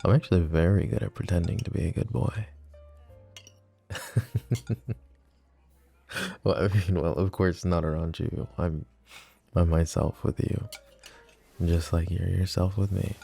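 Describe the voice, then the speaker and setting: A possessive mafia boss with a very low, gravelly voice and a dark, mellow tone, as a man acts him out. He teases with smug ease and turns cold when he threatens. Faint music sits under this audio drama. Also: fairly even intonation, a little slow, long pauses, heavily acted. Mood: intimate. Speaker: voice actor. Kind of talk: ASMR roleplay audio drama.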